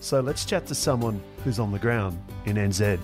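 A man with a somewhat low voice hosts a short podcast, moving between scripted narration and interview questions. His delivery is dry, with wry asides. A strong music bed plays beneath him. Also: normal speed. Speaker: podcast host.

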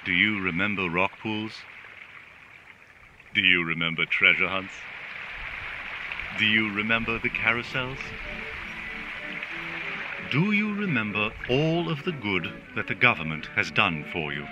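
An actor playing a caring but menacing government relaxation robot in a dystopian radio drama, putting slow, rhetorical questions. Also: male voice, lowish voice, lively intonation, dull audio. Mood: eerie soothing. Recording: music under the voice.

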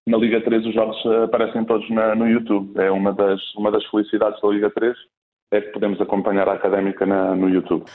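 Man from Galicia, young adult, relaxed hesitant chat, tinny phone-like sound. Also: fairly low pitch, fast talker, echoey room. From Spain, Galicia. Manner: relaxed, slightly hesitant with restarts. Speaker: guest. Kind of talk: casual podcast chat.

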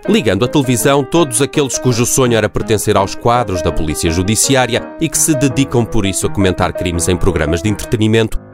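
A man's voice: lowish voice, animated, fast, hardly any pauses. A man narrating a scripted radio drama in a tongue-in-cheek, mock-solemn way. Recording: music bed under the voice.

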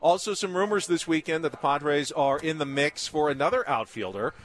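A man's voice: fairly high pitch, brisk, a bit bright. A man with an American accent, hosting a conversational sports radio show and asking questions. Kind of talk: conversational sports talk and interviewing. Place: on location at a baseball spring training camp.

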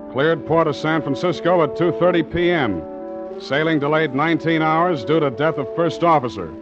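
An actor playing a tough, wisecracking young sea captain in a 1940s radio drama, clipped and sardonic in manner. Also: male voice, fairly high pitch, natural voice. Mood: formal, terse. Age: 20s. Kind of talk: 1940s radio acting, hard-boiled narration. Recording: muffled 1940s radio recording with music.

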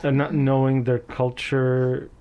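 A man interviewing guests in a friendly, relaxed way, framing his questions carefully. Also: mid-range voice, takes it slowly, smooth, phone-quality sound. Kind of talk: conversational interview.

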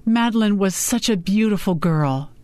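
A woman's voice: medium pitch, expressive, moderate pace, darkish tone, dry recording, pitched above the performer's own voice, natural voice. A woman voicing an earnest, polite, bold female lawyer in an audiobook. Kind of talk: audiobook character dialogue.

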